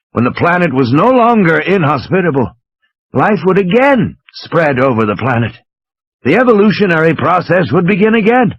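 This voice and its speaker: A man acts out an impassioned, exasperated male intellectual for an audiobook, lecturing with scorn. The voice is fairly high and husky, and it rises and falls dramatically with every emphatic point. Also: a bit bright, no room sound, highs cut off, heavily acted. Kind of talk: dramatised audiobook character reading.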